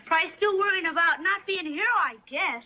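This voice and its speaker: A woman playing a lively young sidekick in a Western. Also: very high voice, fast talker, muffled recording, a little hiss or hum. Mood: puzzled.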